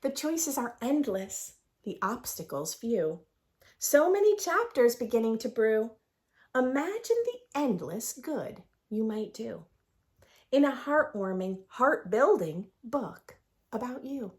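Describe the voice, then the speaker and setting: High-pitched, animated woman reading to children. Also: slow pace. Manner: expressive, sing-song storytelling for young children. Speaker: children's read-aloud host. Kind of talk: expressive picture-book read-aloud with asides.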